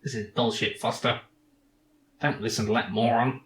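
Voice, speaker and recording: A man's voice: medium pitch, steady tone, moderate pace, slight background noise, lightly acted. Man voicing a snarky echoing little robot sidekick. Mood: indignant. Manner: pedantic, sarcastic, dismissive.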